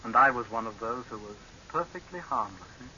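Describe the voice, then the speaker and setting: A young saboteur in an old radio horror play, cold and quietly menacing. His mid-pitched voice has a piercing edge and a deliberate, mocking delivery. The vintage recording is muffled, with light hiss. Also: lively intonation. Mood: bitter, taunting. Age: young adult. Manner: deliberate, measured, sardonic, menacing. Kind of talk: 1930s radio horror drama acting. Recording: hissy, dull 1930s radio recording.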